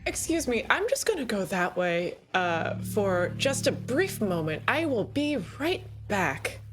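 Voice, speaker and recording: A woman's voice: mid-range voice, sing-song, unhurried. A woman playing in a tabletop roleplaying game, improvising her lines in character. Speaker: player. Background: loud music.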